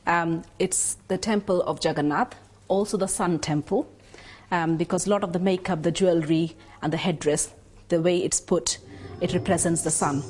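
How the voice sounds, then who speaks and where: Lady with a lowish voice explaining dance history for a documentary, lots of ums. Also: fairly even intonation, normal speed, a little mellow. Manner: explanatory, frequent "um" fillers. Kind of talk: documentary interview.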